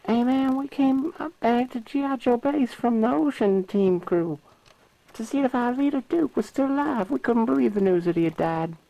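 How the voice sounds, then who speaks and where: A man's voice: very high voice, moderate pace, creaky, warm tone, no room sound, voice pushed up, rough recording. A man voicing a brash, easygoing sailor soldier with breezy slang, in an amateur cartoon dub.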